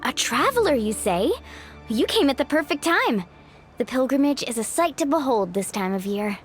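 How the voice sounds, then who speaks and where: A woman's voice: high voice, very animated, normal speed. A woman playing an upbeat, encouraging young woman warrior in an anime game, with a youthful, confident voice. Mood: friendly. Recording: music behind.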